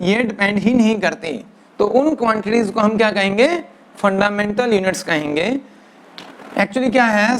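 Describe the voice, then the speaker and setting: A male physics teacher giving a lecture, mixing English into Hindi, dictating definitions slowly word by word and often checking with "right na". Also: high-pitched, a little hiss or hum. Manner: mixes English into Hindi, keeps asking "right na". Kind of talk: classroom lecture.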